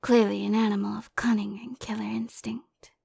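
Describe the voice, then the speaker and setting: A woman voicing a mock-serious nature documentary narrator, hushed, breathy and suspenseful in an audio roleplay. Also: mid-range voice, level tone. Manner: hushed, breathy, slow and grandly dramatic.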